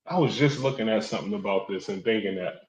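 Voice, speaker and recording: A man in his thirties co-hosting a casual hip-hop chat, measured and thinking aloud in long sentences. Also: fairly low pitch. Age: 30s. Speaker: podcast co-host. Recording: dull, roomy mic sound.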